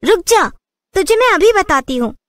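An earnest, brave young village heroine, played by a woman from a cartoon tale. Her voice is extremely high and emphatic, recorded dry. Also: sing-song, normal speed, a bit bright, no echo, heavily acted. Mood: defiant.

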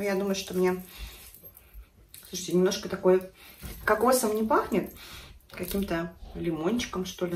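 A woman in her thirties chats to camera in her living room with a slightly stuffy, congested voice and a lowish pitch. The room sounds echoey, with a faint hiss underneath. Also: far from the mic. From Russia. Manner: chatty, conversational, shows products on camera. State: stuffy nose from a cold. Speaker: beauty vlogger. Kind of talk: casual chat to camera.

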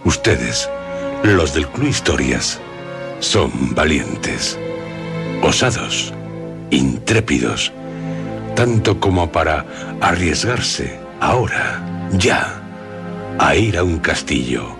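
An actor playing an ominous, insistent storyteller in a radio drama, speaking theatrically with suspenseful pauses. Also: male voice, rumbling low, big pitch swings, gravelly, music in the background, exaggerated voice. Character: ominous, insistent male storyteller coaxing out dark secrets.

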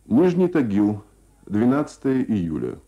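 A man's voice: mid-range voice, very animated, unhurried, dull audio. A man reading aloud in a formal, scripted Soviet radio style. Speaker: radio reader of listeners' letters. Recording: archival Soviet radio broadcast.

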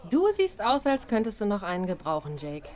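A woman playing a cheeky, energetic, good-hearted barmaid in her thirties in an audio drama. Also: mid-range voice, fairly quick, close to an everyday voice. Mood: teasing. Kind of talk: German audio drama acting.

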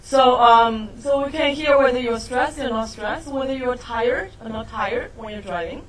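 A woman's voice: a little high, moderate pace, a little hiss or hum, rough recording. Middle-aged chinese lady lecturing on tech, keeps asking "right?". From Shanghai, China. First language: Mandarin. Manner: explanatory, asks audience to confirm. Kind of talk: technical talk to an audience.

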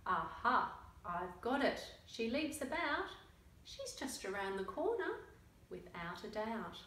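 A woman's voice: a little high, big pitch swings, slow, a bit bright, full character voice. A woman voicing a helpful, muddled butterfly in a children's storybook, confident and encouraging. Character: eager, helpful, muddled butterfly guiding a monkey. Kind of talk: children's picture book read-aloud. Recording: roomy, distant mic, faint noise.

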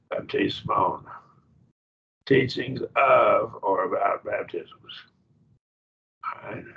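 A man with an Oklahoma accent teaching a Greek grammar class over a video call, explaining in halting restarts and checking that students follow. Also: lowish voice, vocal fry, slightly rough. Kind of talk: online class lecture. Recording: dull lo-fi video call audio.

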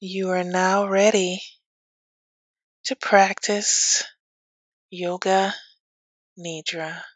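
A woman teaching yoga nidra as a guided meditation, speaking gently and soothingly with long pauses. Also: lowish voice, little pitch movement, dry recording.

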